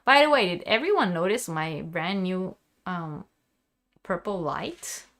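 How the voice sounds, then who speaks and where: A woman's voice: on the low side, sing-song, unhurried, a bit bright. A woman with a sore, fading voice hosting a live crochet lesson, chatty and answering viewers' questions. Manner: chatty, restarts often, answers viewers directly. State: sick, sore throat, losing her voice.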